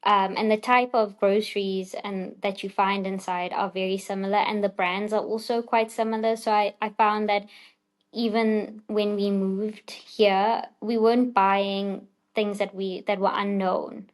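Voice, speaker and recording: A woman's voice: mid-range voice, flat delivery, a little slow. A woman with a South African accent chatting casually as an interview guest, in a chatty, giggly manner.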